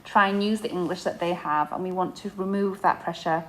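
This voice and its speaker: A woman's voice: fairly low pitch, fairly quick, fairly bright tone, a little hiss or hum, low-quality recording. A young adult woman, an inclusion teacher, explaining to parents in a podcast-style talk, with frequent fillers and restarts.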